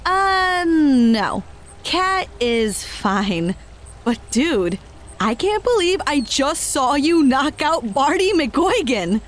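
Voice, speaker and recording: A woman playing a sheepish, casual young adventurer in an audio drama, hesitant and expressive. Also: high-pitched, extremely slow, exaggerated voice. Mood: sheepish, impressed. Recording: faint background noise.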